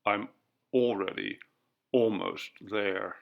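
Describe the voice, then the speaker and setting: A man voicing a frightened, anguished man in a ghost story audiobook, speaking slowly and haltingly. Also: fairly even intonation, creaky, lo-fi sound. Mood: resigned calm. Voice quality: breaking, low, trembling voice. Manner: halting, slow, haunted. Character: anguished, frightened man confessing a dreadful secret.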